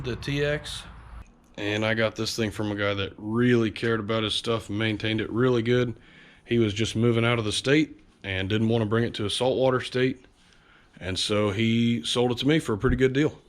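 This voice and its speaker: A man with a slightly low voice talks in a relaxed, chatty way, sniffly from a light cold. He hosts an outdoors vlog and fills his talk with easy fillers. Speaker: outdoors channel host. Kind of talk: casual walkthrough vlog. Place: home garage.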